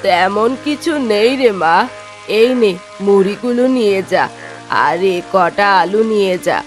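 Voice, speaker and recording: An old village granny acted by a woman, kindly and concerned. Her mid-pitched voice has homely, motherly phrasing in fairy-tale cartoon style, with faint music beneath. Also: animated, a little slow, lightly acted.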